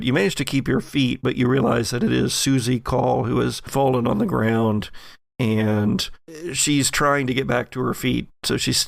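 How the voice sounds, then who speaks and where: A man narrating a horror tabletop game as game master, speaking in a halting, deliberate way and repeating words for emphasis. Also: mid-range voice, creaky, no room sound, clean studio sound.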